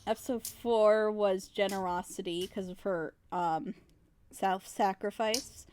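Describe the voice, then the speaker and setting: A woman's voice: medium pitch, fairly even intonation, slow pace, a little mellow, a little hiss or hum. A talkative woman giving frank, opinionated critique in a casual group chat. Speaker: reactor on a group reaction show.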